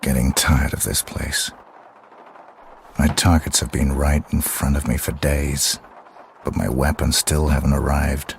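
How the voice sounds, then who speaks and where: An actor playing a stoic hitman in a video game, speaking in a terse, deadpan manner. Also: very deep voice, a little slow, vocal fry, slight background noise, exaggerated voice. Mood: weary, resolved. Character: cold, stoic male professional assassin.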